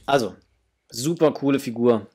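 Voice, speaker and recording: A man's voice: medium pitch, moderate pace, a little mellow, slightly rough. Enthusiastic middle-aged man chatting casually. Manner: enthusiastic, gushing. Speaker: host of a toy collecting channel. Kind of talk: casual unboxing chat to viewers. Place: small home room indoors.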